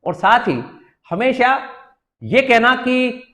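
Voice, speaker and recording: A man's voice: very high voice, very animated, takes it slowly, a bit bright. A man with an Indian accent hosting and moderating a political discussion show. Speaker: host.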